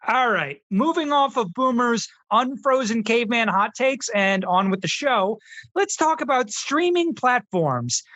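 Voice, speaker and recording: Actor as snarky twenty-year-old critic, very animated pitch, dry close sound, know-it-all, comedy radio. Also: unhurried, no echo. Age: 20s. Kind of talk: scripted comedy radio hosting.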